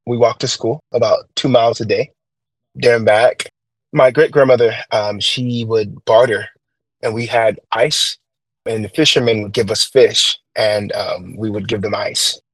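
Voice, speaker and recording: A man's voice: fairly low pitch, fairly even intonation, unhurried, slightly rough, no room sound, low-quality recording. A young man who grew up in Trinidad, speaking with a light Trinidadian accent and reflecting earnestly, with lots of fillers and restarts, as an interview guest. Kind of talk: interview, personal story.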